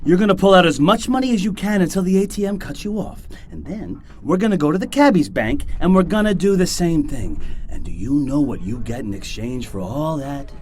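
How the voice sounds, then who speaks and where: An actor playing a volatile, menacing armed carjacker in an audio drama, sneering, swearing and erratic. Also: male voice, on the high side, lively intonation, fairly quick, darkish tone, lightly acted. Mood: taunting, threatening.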